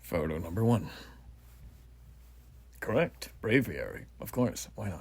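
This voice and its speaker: An earnest revolutionary army officer, played by a man, formal and stately, with a low, husky voice. He rambles proudly and unhurriedly, rising and dropping into hushed asides as he addresses a recruit. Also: very animated, slow, full character voice. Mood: measured, instructing. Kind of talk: roleplay voice acting addressed to the listener.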